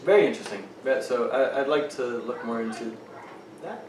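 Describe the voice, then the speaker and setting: A man hosting a casual podcast at home, joking and teasing his guest and laughing loudly. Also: mid-range voice, normal speed. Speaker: podcast host. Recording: roomy, distant mic with faint noise.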